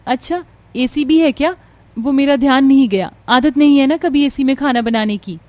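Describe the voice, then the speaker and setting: A woman voicing a gentle, humble young village bride, earnest and deferential, in a cartoon family story. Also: sits high, moderate pace, no room sound, faint music behind, voice pushed up, lightly acted. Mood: unsure.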